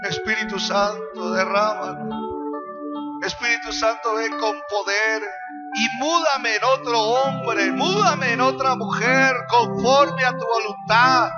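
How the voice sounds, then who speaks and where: Male pastor, strikingly high voice, slow delivery, fervent imploring prayer, repeated phrases, loud music behind. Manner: impassioned, repetitive, imploring. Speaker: pastor leading worship and prayer. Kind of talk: fervent charismatic prayer and exhortation over worship music. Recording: dull lo-fi sound under loud worship music. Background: loud worship music.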